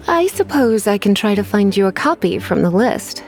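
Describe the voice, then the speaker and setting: A woman's voice: medium pitch, big pitch swings, vocal fry, music in the background. A woman playing a languid, teasing librarian in a video game, coy and polite with menace underneath. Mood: weary, ominous. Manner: coy, sighing, drawn-out politeness. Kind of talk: English video game voice acting.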